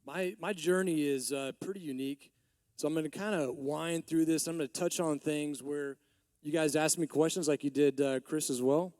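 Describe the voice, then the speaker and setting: A man in his forties from Texas, a business owner giving a rambling conference talk with many restarts, his voice a little hoarse from allergies. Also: a little high, steady tone, moderate pace, a little mellow, no room sound, studio quality. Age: 40s. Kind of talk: conference speech.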